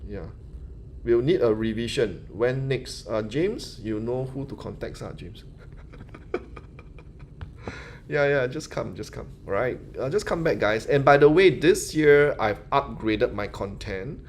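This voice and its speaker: A man's voice: medium pitch, expressive, slow, slight background noise. A Singaporean man with a local English accent, answering questions on a live stream in a chatty, loose teaching style. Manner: chatty, loose phrasing with restarts and "you know". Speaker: manifestation teacher hosting a live Q&A.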